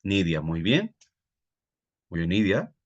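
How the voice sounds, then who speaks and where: A man's voice: low-pitched, wildly swooping pitch, slow pace, slightly rough, muffled recording. A man with a Peruvian Spanish accent teaching a live online class, explaining didactically and often putting questions to his students. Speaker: teacher, exam-prep tutor. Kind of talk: live online reading-comprehension lesson.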